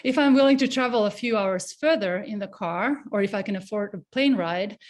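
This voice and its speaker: A woman's voice: mid-range voice, brisk. A middle-aged woman from Finland with a Finnish accent, giving an online presentation in a casual, conversational way with fillers, over a computer mic. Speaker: guest speaker, avalanche researcher.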